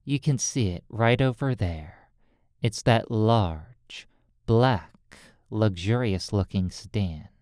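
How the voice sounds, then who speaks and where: A hypnotist speaking in a soothing, deliberate way, pausing between short phrases as in a guided sleep roleplay, close to the microphone. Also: medium pitch, a little mellow, no room sound. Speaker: hypnotist and recording host.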